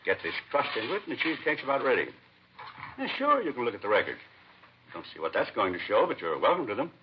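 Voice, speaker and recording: An actor playing a fussy, self-satisfied nightclub owner, chatty and offhand, in a 1950s radio crime drama. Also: male voice, mid-range voice, wildly swooping pitch, fast talker. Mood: offhand, distracted. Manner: chatty, offhand, breaking off into kitchen asides. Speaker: club owner being questioned. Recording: muffled, noisy vintage radio recording.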